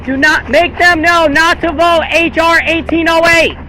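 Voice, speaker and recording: A man's voice: very high-pitched, steady tone, a little slow, sharp tone. An American man protesting on the street, declaiming repeated slogans and chatting with passersby outdoors. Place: outdoors by a busy road. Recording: noisy outdoor street, traffic behind.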